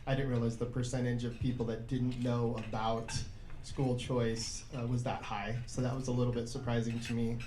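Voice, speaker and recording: An American man giving informal, earnest answers on a panel in a halting way. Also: mid-range voice, level tone, dark tone. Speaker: newly elected state senator, panelist. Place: indoor event room. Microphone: shared event microphone. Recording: echoey room, faint background noise.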